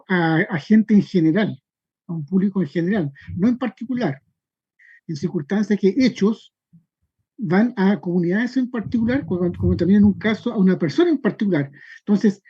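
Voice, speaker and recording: A man speaking as a student in an online class, politely asking a question in a halting way, repeating words as he goes. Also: dark tone, slightly rough. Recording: muffled low-fidelity online call audio.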